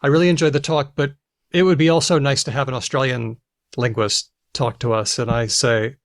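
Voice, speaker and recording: An American man with noticeable vocal fry hosts a podcast conversation. His pitch sits in the middle range and the sound is dry and close, with little room on it. He talks reflectively, like someone sharing anecdotes. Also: fairly even intonation, fairly quick, dry recording. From United States. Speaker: podcast host and linguist.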